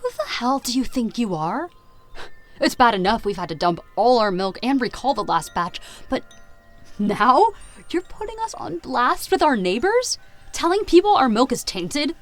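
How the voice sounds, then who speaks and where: Young farm woman, high voice, grieving, anxious, defiant, audio drama, music underneath. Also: very animated, normal speed. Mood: angry.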